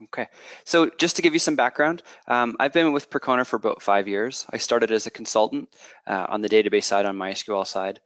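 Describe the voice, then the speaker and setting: Canadian man presenting a software product. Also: fairly low pitch, a little fast, no room sound. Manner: explanatory, frequent fillers and restarts, checks in with okay. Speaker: product manager.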